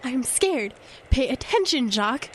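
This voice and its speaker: An anxious young fiancée played by an actress in an audio drama about a blizzard. Her voice is high, and she speaks with dramatic emphasis, frightened yet defiant. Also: big pitch swings, unhurried, a little hiss or hum. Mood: hurt, defiant.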